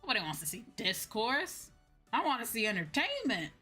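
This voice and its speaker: A woman's voice: fairly high pitch, huge pitch swings, moderate pace, sharp tone, breathy edge, faint music behind. A woman livestreamer chatting casually, in an informal, slangy, ranting way that acts out her own reactions. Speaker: VTuber livestream host.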